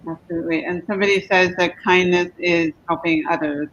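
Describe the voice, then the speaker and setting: A woman hosting a conversational live Q&A, affirming what others say and pausing as she goes. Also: fairly low pitch, steady tone, fairly bright tone. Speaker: host of live Q&A. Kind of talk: conversational interviewing, reading viewer comments. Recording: dull lo-fi stream with background noise.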